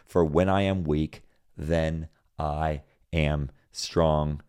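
A man from Senegal preaching a sermon, with a notably low, deep voice. He reads scripture aloud, repeats key phrases, and climbs to loud, emphatic peaks before dropping back down. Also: fairly even intonation, drawn-out words, clear, resonant tone.

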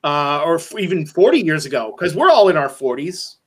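A man's voice: on the high side, very animated, a little fast. Hesitant man in his forties hosting. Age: 40s. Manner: hesitant, self-deprecating. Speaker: podcast host. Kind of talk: casual chat.

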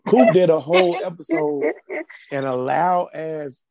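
A man's voice: a little high, big pitch swings, unhurried, gravelly, mellow. A man co-hosting a casual chat show, teasing and swearing freely. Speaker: regular co-host. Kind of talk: casual banter.

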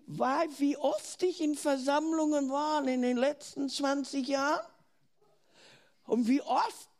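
High-voiced older German woman preaching slowly. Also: a little mellow. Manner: earnest, asks the listener questions, restarts words. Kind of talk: sermon.

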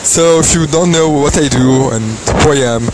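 A man's voice: a little high, normal speed. A man in his thirties from Belgium, hosting a casual, motivational podcast outdoors on a beach, with plenty of ums and uhs. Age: 30s. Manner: motivational, filler-heavy with many ums and uhs. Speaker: podcast host. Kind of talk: casual solo podcast monologue. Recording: loud outdoor wave noise. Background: loud waves.

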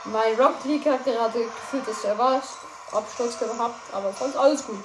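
A woman's voice: on the high side, normal speed, loud music behind the voice. A young woman giving casual, playful commentary while she plays a game, often tripping over her words. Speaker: gameplay commentator. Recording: echoey room with noise.

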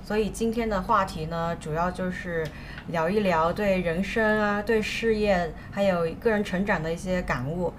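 A woman from China co-hosting a casual chat, with soft murmured backchannels and a deliberate delivery. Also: fairly low pitch, a little hiss or hum. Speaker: podcast co-host.

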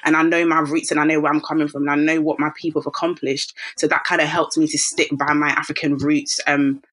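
Woman in her 20s from Ghana, interview guest, earnest, filler-heavy, crisp bright tone. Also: low-pitched, flat delivery, quick pace. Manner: warm, earnest, many fillers. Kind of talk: casual interview chat.

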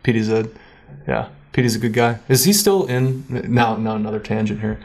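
A man's voice: lowish voice, normal speed, a little mellow. A young man talking casually as a podcast guest, rambling and swearing easily. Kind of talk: casual chat.

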